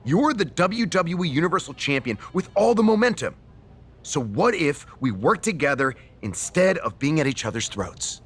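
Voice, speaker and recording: Self-important wrestling general manager, fairly high and lively, game cutscene. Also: male voice, moderate pace, husky. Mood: coaxing. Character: vain, exasperated male wrestling general manager. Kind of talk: scripted video game cutscene acting.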